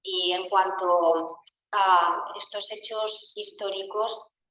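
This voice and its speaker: A woman's voice: medium pitch, steady tone, takes it slowly, a bit bright. A woman, a university professor, lecturing earnestly and now and then correcting herself as she goes. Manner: earnest, stresses responsibility, some self-corrections. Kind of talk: lecture and panel talk. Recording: very echoey hall, mic far off.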